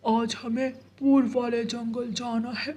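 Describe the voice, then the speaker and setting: A woman voicing a kindly, calm male cloud character in a children's cartoon. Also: fairly high pitch, a little slow. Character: kindly uncle cloud, calm and hurried to leave.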